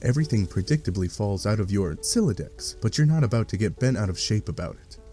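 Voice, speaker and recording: Male narrator, lowish voice, scripted storytelling, wry dry asides, faint music underneath. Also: expressive, fast, dark tone. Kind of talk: scripted second-person narration of a webcomic fan dub.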